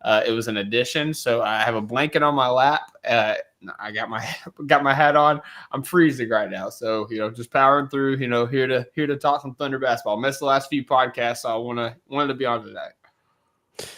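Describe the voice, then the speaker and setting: A man with a stuffy, congested voice from allergies, chatting easily on a sports podcast. He is recording from a cold, poorly insulated room at home, and his voice sits at an average pitch. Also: moderate pace, a bit bright. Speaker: podcast co-host. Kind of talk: casual sports podcast chat.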